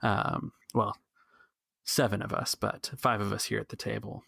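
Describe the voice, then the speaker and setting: A man playing a protective, cautious rebel organiser in a tabletop game, reassuring and measured but halting. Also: fairly low pitch, little pitch movement, creaky, a bit bright, dry recording, clean studio sound, full character voice. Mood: earnest, planning.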